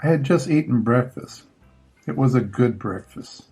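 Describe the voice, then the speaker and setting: An older man reading a children's storybook aloud in short, measured phrases with pauses between them. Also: medium pitch, lively intonation, warm tone, faint music behind. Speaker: grandfather reading picture books aloud.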